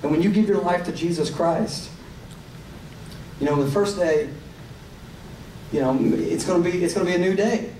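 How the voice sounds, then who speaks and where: Tennessee preacher in an echoey hall. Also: male voice, high voice, moderate pace, pauses often, darkish tone. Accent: Southern US, Tennessee. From Tennessee, USA. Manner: casual, joking, drawn out, rising to fervent. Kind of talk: live evangelistic sermon. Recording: echoey hall, distant mic, room noise.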